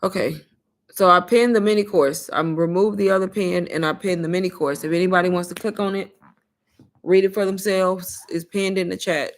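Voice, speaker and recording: Chatty woman pitching on a livestream. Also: fairly even intonation, moderate pace. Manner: chatty, repeats herself, addresses viewers as "y'all". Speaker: online marketing coach hosting a livestream. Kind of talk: casual livestream sales pitch and Q&A.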